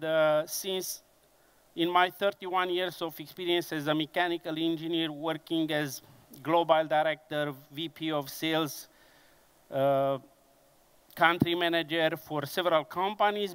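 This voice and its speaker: A man in his fifties with a Romanian accent addresses a conference audience in English, his second language. His voice sits a little high and sounds smooth and resonant. He speaks carefully and haltingly, often pausing and restarting as he goes. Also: a bit bright. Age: 50s. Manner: unhurried and halting, with frequent fillers and restarts. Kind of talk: industry conference presentation.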